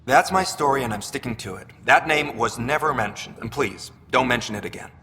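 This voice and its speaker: A man playing a shifty, nervous scavenger merchant in a video game, smooth-talking and evasive with anxious hedging. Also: mid-range voice, a little fast, sharp tone, long reverb tail, a little hiss or hum, close to an everyday voice.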